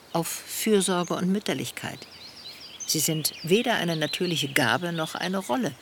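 Thoughtful older woman for a radio play: articulate, reasoning aloud calmly, with distinct outdoor nature noise around her. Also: fairly low pitch. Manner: measured, analytical, articulate. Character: thoughtful older woman, an intellectual queer friend. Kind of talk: radio play dialogue, conversational essay.

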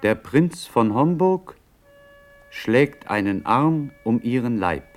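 An actor playing a sober narrator, reading stage directions in a radio drama. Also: male voice, mid-range voice, lively intonation, slow pace, soft background music.

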